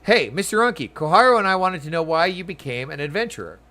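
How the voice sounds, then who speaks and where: A man voicing an eager, curious little child from a video game, excitable and pleading. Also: a little high, quick pace, pitched above the performer's own voice.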